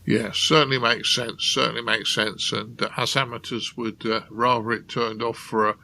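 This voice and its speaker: A man's voice: medium pitch, little pitch movement, moderate pace, vocal fry, sharp tone, a little hiss or hum. A man hosting a podcast panel in casual conversation. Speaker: podcast host.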